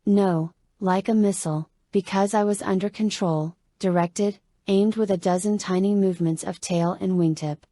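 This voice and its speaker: Female audiobook narrator, medium pitch, soft dark timbre, conversational first-person storytelling for a young adult adventure. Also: steady tone, moderate pace, few pauses, no echo.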